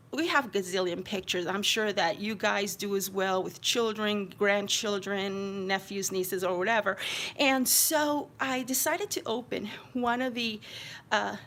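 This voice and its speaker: A woman's voice: fairly high pitch, a bit bright. A middle-aged woman with a slight New York American accent, chatting casually and rambling as she shows a craft project to viewers. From Queens, New York, United States. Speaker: craft channel host showing her handmade album.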